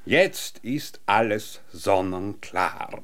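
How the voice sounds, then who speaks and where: An actor playing an eccentric, pompous scientist in an absurd radio drama, lecturing theatrically. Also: male voice, lowish voice, lively intonation, extremely slow, fairly bright tone, heavily acted. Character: eccentric, naked time-theorist scientist back from apparent death.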